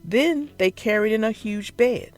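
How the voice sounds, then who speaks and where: A narrator reading a children's story aloud in an expressive, theatrical and deliberate way. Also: medium pitch, faint music behind. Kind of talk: expressive scripted read-aloud for young children.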